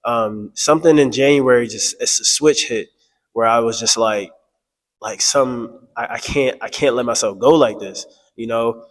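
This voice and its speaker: A man's voice: medium pitch, moderate pace. Stuffy-voiced man rambling in an echoey room. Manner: rambling and candid, with many fillers and restarts. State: stuffy, getting over a cold. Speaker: vlogger. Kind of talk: casual personal vlog monologue. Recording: long echoey reverb.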